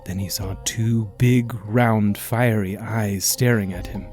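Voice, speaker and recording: Slow-paced male ghost story narrator. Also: fairly low pitch, steady tone, darkish tone, soft background music.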